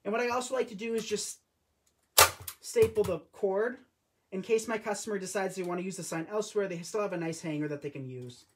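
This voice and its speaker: A man's voice: sits high, brisk, a little hiss or hum. A man in his early twenties hosting a live crafting stream from a home craft room, talking loosely and conversationally. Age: 20s. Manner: chatty, loose and conversational with frequent restarts. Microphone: camera or phone on a tripod over the table.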